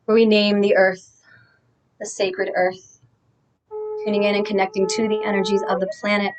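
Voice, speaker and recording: A woman gives a guided, meditative talk on spiritual practice. Her delivery is gentle and deliberate, set out in short phrases with pauses between them. Her pitch sits in the middle range for a woman. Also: flat delivery, soft background music, low-quality recording. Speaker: guest speaker and guide.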